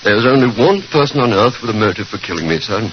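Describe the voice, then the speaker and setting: An actor playing a fearful, deferential butler in old radio drama style, stammering and pleading. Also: male voice, fairly low pitch, expressive, quick pace, lightly acted. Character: frightened, deferential male butler pleading for help. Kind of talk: 1940s radio drama acting. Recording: dull, noisy vintage radio recording.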